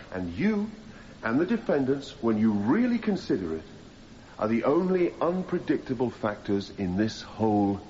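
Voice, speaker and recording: Radio drama: male actor as impassioned defence counsel, rhetorical and measured, lively melody, muffled copy with light hiss. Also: mid-range voice, natural voice. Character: impassioned male defence barrister addressing a jury. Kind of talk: radio drama courtroom speech. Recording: slightly dull, noisy radio broadcast copy.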